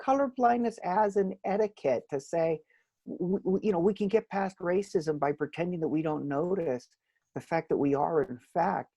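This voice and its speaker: A man's voice: high voice, normal speed, mellow, no echo. A middle-aged man from Hawaii, a law professor answering interview questions thoughtfully, with frequent restarts and rhetorical asides.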